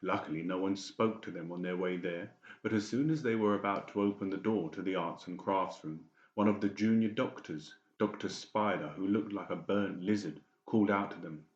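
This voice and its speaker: Very deep-voiced male audiobook narrator. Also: moderate pace, hardly any pauses. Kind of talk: scripted dramatic story narration. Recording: roomy, slightly dull sound.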